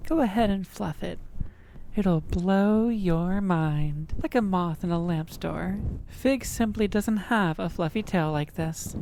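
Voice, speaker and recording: A woman's voice: lowish voice, takes it slowly, dark tone, slight background noise, rough recording. A woman playing an obsessive, boastful kitsune fox girl in an ASMR roleplay, cajoling and dramatic. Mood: smug, coaxing.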